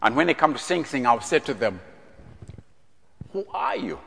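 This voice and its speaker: A man's voice: mid-range voice, very animated, moderate pace, gravelly, a bit bright. Preaching man from Uganda, reverberant church. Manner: earnest, repetitive, rhetorical questions. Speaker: prison chaplain preaching as guest. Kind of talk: sermon.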